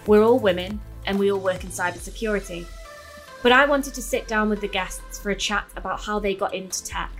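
A woman's voice: medium pitch, fairly quick, faint music behind. A woman hosting a podcast, giving scripted introductions and putting questions to guests. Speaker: podcast host.

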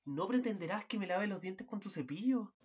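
A man's voice: sits high, animated, moderate pace, breathy edge, highs cut off. A young man, a student actor, playing a fussy, weary husband who grows sinister in an amateur radio drama. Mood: irritable. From Chile. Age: young adult.

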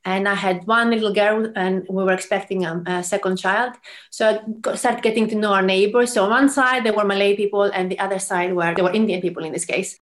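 A middle-aged woman from Greece, a mission representative telling a story in a relaxed interview, animated and full of fillers and restarts. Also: mid-range voice, fairly quick. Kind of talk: conversational storytelling.

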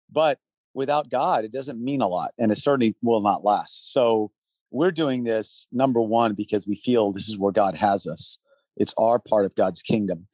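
A man's voice: mid-range voice, a little mellow, dry recording. A man in his sixties, raised in Thailand, telling his story in an earnest, conversational way, listing his points and chuckling between phrases. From Texas, raised in Thailand. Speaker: guest, relief organization leader. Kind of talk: conversational interview answers.

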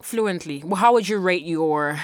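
A woman's voice: on the low side, unhurried, no echo, faint music behind. A young woman from Ghana, a podcast guest chatting casually, with many fillers and restarts. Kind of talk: casual chat.

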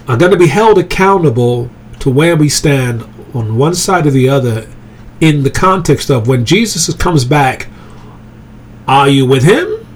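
A man's voice: medium pitch, slight background noise. A man who grew up in England, teaching a Bible study in an informal, conversational way and checking in with his listeners as he goes. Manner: conversational, checks in with "okay?" and "right?".

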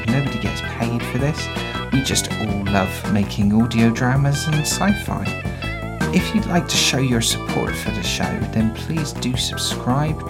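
Male podcast host, scripted credits read, lower pitch, loud plucked guitar behind. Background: plucked guitar music.

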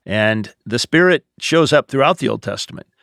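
A man's voice: mid-range voice, lively intonation, moderate pace, vocal fry, a bit bright, dry recording, studio quality. A middle-aged or older man, a pastor, explaining things in a casual, teacherly podcast style. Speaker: pastor and theology teacher answering questions.

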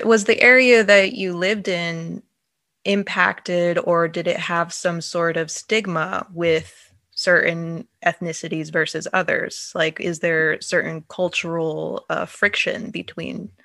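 Husky-edged woman hosting a podcast. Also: on the low side, fairly even intonation, unhurried, a bit bright, dry recording. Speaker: podcast host. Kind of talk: interview host.

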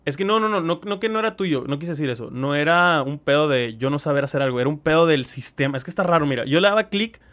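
A man's voice: a little high, fast, hardly any pauses. Slangy Mexican man, casual podcast talk. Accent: Mexican Spanish. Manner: crude slangy banter, animated retellings. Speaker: podcast co-host. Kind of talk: casual chat.

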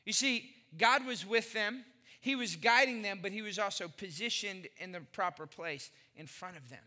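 A man's voice: sits high, moderate pace, bright, forceful tone, long reverb tail. A man in his forties preaching a sermon, emphatic, repeating phrases and putting questions to the congregation. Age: 40s. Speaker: pastor.

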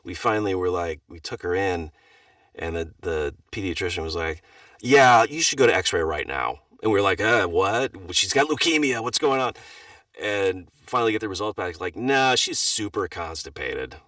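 A middle-aged man, an audiobook narrator, chatting casually on a podcast in a relaxed, self-deprecating way that turns animated when he tells a story. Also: low voice, normal speed, no echo, clean studio sound, distant mic.

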